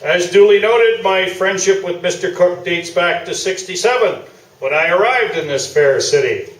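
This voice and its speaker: A man acts out a self-important old newspaper sports columnist in a comic radio play. The voice sits fairly high and rambles along, boastful and blustering. It is heard from a distance in an echoey theatre. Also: moderate pace, a bit bright. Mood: haughty, defensive. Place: small theatre stage, live performance. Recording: echoey stage, distant camera mic.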